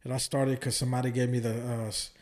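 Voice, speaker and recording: Male podcast guest from California, medium pitch, informal street-casual storyteller with plenty of slang. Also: little pitch movement, quick pace, darkish tone. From Oakland, California. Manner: slangy, informal, peppers speech with "bro" and "like". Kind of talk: casual chat, personal storytelling.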